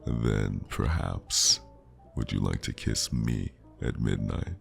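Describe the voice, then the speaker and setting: Very deep, gravelly flirty man, voice-acted. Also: fairly even intonation, slow pace, soft background music, heavily acted. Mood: playful, coaxing. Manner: smooth-talking, teasing, lingering delivery. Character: flirty charming male stranger at New Year's party. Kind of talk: scripted ASMR roleplay, intimate and teasing.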